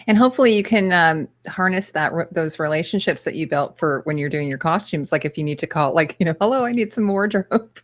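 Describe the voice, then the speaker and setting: A woman's voice: on the low side, animated, fairly quick. A woman hosting a channel interview over a video call, upbeat and enthusiastic, with chatty asides to viewers. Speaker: interview host.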